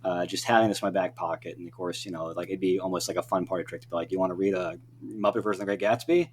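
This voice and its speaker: A man's voice: sits low, fairly even intonation, rapid-fire. A man talking casually as an interview guest, rambling and breaking off his own sentences with frequent filler phrases. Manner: rambling, self-interrupting, peppered with "you know" and "like". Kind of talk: casual podcast interview chat. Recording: clear background noise with hum.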